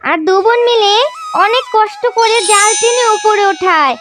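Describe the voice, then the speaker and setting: Woman narrator, very high childlike pitch, scripted cartoon storytelling, music underneath. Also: normal speed, a bit bright. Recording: music behind the voice.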